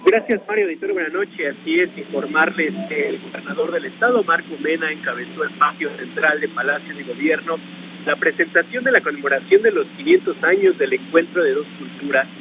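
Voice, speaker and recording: A man reports live for the news over the phone, formal and quick. The line is tinny and phone-like, with clear noise behind him. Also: fairly high pitch, lively intonation. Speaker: field reporter.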